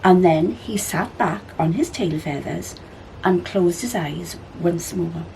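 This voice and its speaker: A woman reading a children's story aloud as a library storyteller, with expressive narration. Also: fairly low pitch, slow, creaky, background noise.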